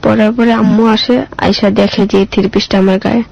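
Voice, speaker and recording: A woman's voice: mid-range voice, level tone, moderate pace, creaky, a little mellow, dry recording, lo-fi sound. A girl in her mid-teens with a colloquial Bangladeshi accent, telling her own story simply in an interview with short, plain answers.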